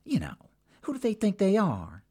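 A loud-mouthed Texan woman, as a man puts her on, firing off blunt, nosy questions. Her voice sits high and bounces around a lot. She swears without a second thought. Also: wildly swooping pitch, normal speed, breathy edge, heavily acted. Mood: brash. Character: brash loud-mouthed woman, Texan living in a big city.

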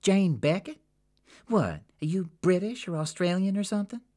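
A loud-mouthed Texan woman, as a man puts her on, firing off blunt, nosy questions. Her voice sits high and bounces around a lot. She swears without a second thought. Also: expressive, moderate pace. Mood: brash. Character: brash loud-mouthed woman, Texan living in a big city.

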